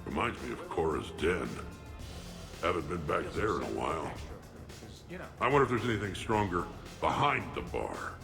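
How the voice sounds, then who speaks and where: An actor playing a huge, gruff, combative alien warrior in a video game, with a gravelly voice and blunt, boastful talk. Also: male voice, deep voice, very animated, moderate pace, long pauses, music in the background. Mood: restless. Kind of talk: video game character acting.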